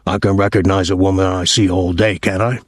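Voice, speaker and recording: A man's voice: deep voice, level tone, fairly quick, dry recording, studio quality. A man voicing a drunken, insistent sailor with thick, slurred speech in an audiobook story. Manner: rambling, defensive drunken insistence.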